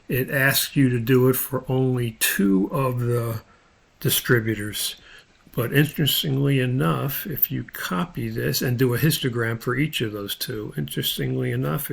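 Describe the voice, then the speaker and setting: A man with a gravelly, husky voice narrating an unscripted spreadsheet tutorial as an instructor, thinking aloud in a halting, step-by-step way. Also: medium pitch.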